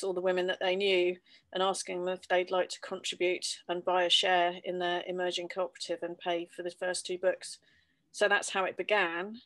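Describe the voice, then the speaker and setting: A woman in her fifties, a publisher, explaining her press's history at a measured pace on a video call from home. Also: on the low side, dry recording. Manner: measured, explanatory, with filler ums. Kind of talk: online panel talk. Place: home room under sloped ceiling. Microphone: laptop webcam video call.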